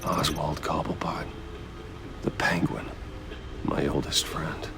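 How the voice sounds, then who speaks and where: A guarded game vigilante, super low and gravelly, terse broody delivery. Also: male voice, level tone, slow pace, whispered, heavily acted. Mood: brooding. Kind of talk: video game voice acting. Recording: music behind the voice.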